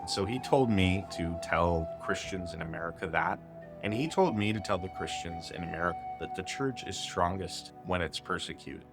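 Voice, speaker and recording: A man's voice: sits low, moderate pace, music in the background. A man telling a personal faith testimony in a casual, conversational way, with fillers like "kinda" and "like". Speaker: speaker sharing a testimony.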